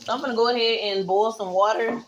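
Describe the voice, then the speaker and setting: A woman in her thirties with a Southern American accent, chatting excitedly to viewers while she cooks in her small home kitchen, moving toward and away from a camera set on the counter. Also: a little high, quick pace, noisy background. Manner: chatty and excitable, calls viewers y'all and honey. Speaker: vlogger. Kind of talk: casual cook-along chat. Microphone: phone or camera set on the counter, she moves around it.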